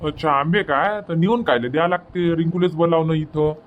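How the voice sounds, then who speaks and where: A man's voice: on the high side, a little fast, phone-quality sound, a little hiss or hum, close to an everyday voice. A man voicing a practical, fond village farmer father in an amateur cartoon comedy, with a rural Vidarbha Marathi accent. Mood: practical, reluctant.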